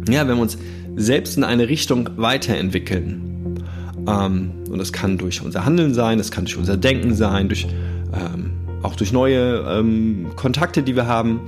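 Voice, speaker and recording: A man hosting a self-help podcast, speaking calmly and thoughtfully with frequent fillers while he has a cold and coughs now and then. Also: fairly low pitch, unhurried, music in the background. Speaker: podcast host.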